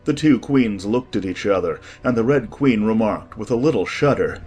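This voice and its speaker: A man's voice: lowish voice, expressive, normal speed, a little mellow, faint music behind. A man reading scripted storybook narration expressively.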